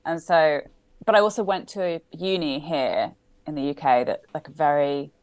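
Woman, low voice, casual podcast guest, self-deprecating, rambling restarts, dry close sound. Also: moderate pace, a little mellow, dry recording. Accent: British with faint Australian traces. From Australia. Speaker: guest actor and comedian.

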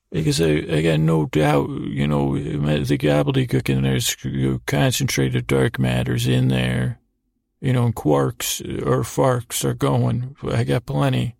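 A man hosting a bedtime podcast in a meandering, halting monologue, stammering and repeating words, whimsical and self-deprecating. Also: mid-range voice, vocal fry, darkish tone, no room sound, clean studio sound. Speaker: podcast host. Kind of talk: rambling bedtime sleep-story monologue.

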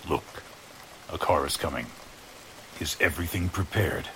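A man's voice: sits low, measured pace, fairly bright tone, low-quality recording. A man playing a sinister scientist villain lying in wait, in a 1940s-style radio drama. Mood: sinister, tense. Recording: rain noise over voice.

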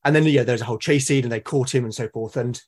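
A man with a British accent speaks as a guest in a relaxed podcast conversation. His voice is mid-pitched and a little rough. He phrases things thoughtfully and haltingly, building each point step by step. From Britain. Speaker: podcast guest, game master and podcast creator. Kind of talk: casual interview conversation.

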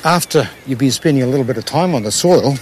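A man's voice: mid-range voice, animated, fast, a little mellow, a little hiss or hum. A man explaining hands-on gardening in an earnest, plain-spoken way, repeating words for emphasis. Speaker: organic gardener. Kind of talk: informal explanation and demonstration to camera in a documentary.

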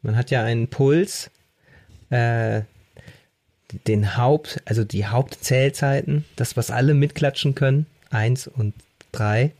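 A man's voice: medium pitch, takes it slowly, darkish tone, dry recording. Casual man in a dry recording. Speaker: podcast co-host, drummer. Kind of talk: casual chat.

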